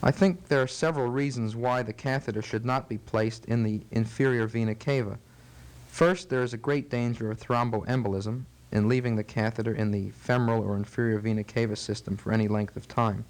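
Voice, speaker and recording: A man's voice: medium pitch, fairly even intonation, brisk, rough recording. A man speaking as a physician in a teaching interview, explaining things formally, precisely and methodically. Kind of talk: measured medical teaching interview.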